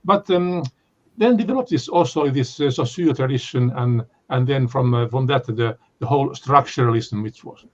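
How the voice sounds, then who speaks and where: An older man speaks with a Finnish accent in a mid-pitched voice, lecturing off the cuff. He stops and restarts often, filling gaps with ums and uhs. The recording sounds dull and lo-fi. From Finland. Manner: halting, many fillers and restarts. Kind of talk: unscripted academic talk.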